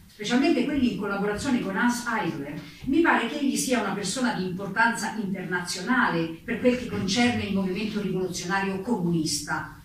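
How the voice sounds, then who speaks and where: A woman's voice: medium pitch, natural voice. A woman playing a stern, insistent investigator at a 1947 political hearing, in a formal theatrical radio reading. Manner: formal, pressing, interrogating. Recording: echoey room, distant mic, faint noise.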